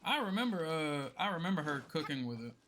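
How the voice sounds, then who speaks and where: Animated, higher-voiced male game streamer. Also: fast, slight background noise. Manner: playful, musing aloud, slangy. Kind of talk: casual playthrough commentary. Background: game music.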